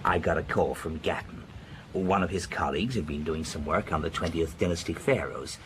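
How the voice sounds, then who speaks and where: A man's voice: a bass voice, a little fast, background noise. An actor playing a frank, worldly professor in a radio drama, telling his story in a confiding, dramatic way. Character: worldly, frank male professor recounting adventures.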